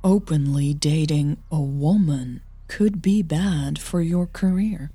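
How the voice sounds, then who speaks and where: A woman voicing an earnest, cautioning female coach in an audio drama, measured and persuasive. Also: sits low, mellow, slightly rough, dry recording, full character voice.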